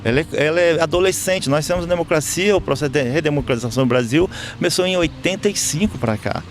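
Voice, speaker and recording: A man's voice: a little high, quick pace, slight background noise. A Brazilian man with a Brazilian Portuguese accent, an interview guest speaking reflectively, with restarts and fillers. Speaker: election observer guest. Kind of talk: conversational interview.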